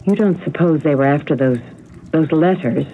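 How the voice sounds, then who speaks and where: An actress playing an anxious, curious wife in a radio drama. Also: female voice, deep voice, moderate pace. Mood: suspicious. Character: anxious, curious wife puzzling over a burglary. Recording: muffled, noisy old radio broadcast.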